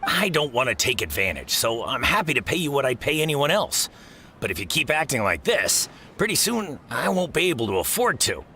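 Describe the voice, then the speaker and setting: A man's voice: medium pitch, big pitch swings, fairly quick, sharp tone, slight background noise. A man voicing an exasperated fruit shop boss in a video game, scolding but fair, in colloquial speech. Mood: grumbling, weary. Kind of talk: English video game voice acting.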